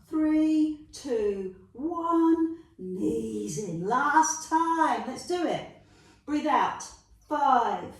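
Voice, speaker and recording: A middle-aged woman with a London accent leading a Pilates workout, counting reps aloud, cheering the viewer on and making jokey asides. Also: high-pitched. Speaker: Pilates instructor. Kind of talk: guided workout instruction. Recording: echoey room, voice from a distance.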